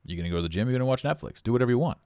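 A middle-aged man with a raspy voice, hosting a podcast with a blunt, preachy motivational monologue. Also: mid-range voice, lively intonation, rapid-fire, darkish tone, dry recording, clean studio sound. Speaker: podcast host.

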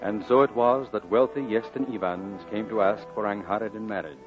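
An actor playing a grown man wistfully recalling his boyhood, narrating an old radio drama in a measured, reflective way. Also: lowish voice, natural voice. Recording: muffled old radio broadcast with music.

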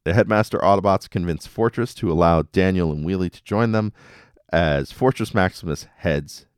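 A man's voice: sits low, normal speed, clear, resonant tone, no room sound, clean studio sound. A man hosting a podcast, casually recapping episodes with wry, jokey asides. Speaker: podcast host.

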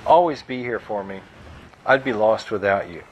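A man's voice: fairly low pitch, lively intonation, normal speed, dull audio, a little hiss or hum, close to an everyday voice. A man voicing a frightened young mother who speaks in a tight, shaky, pleading voice.

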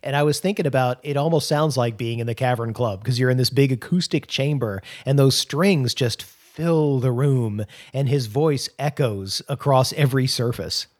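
A man from the US co-hosting a music podcast, loud and jokey, laughing often. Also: mid-range voice, moderate pace, hardly any pauses. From United States. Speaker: podcast co-host. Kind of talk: casual chat.

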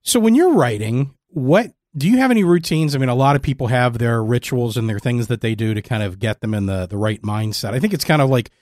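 A man's voice: medium pitch, lively intonation, brisk, hardly any pauses, darkish tone, no room sound, studio quality. A man hosting a conversational interview, phrasing his questions and thoughts in a reflective way. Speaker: podcast interviewer, host.

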